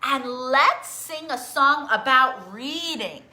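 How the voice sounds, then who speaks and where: A woman hosting a live online story time, talking directly to young children in a sing-song, animated manner as a librarian who reads and teaches songs. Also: sits high, takes it slowly. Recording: roomy, echoing sound.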